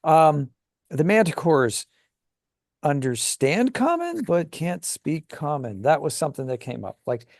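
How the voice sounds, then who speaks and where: A man's voice: on the high side, sing-song, a little slow, no echo, studio quality. A man co-hosting a gaming show, talking casually and enthusiastically as he thinks aloud. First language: English. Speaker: co-host of a tabletop gaming show. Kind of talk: casual conversational chat.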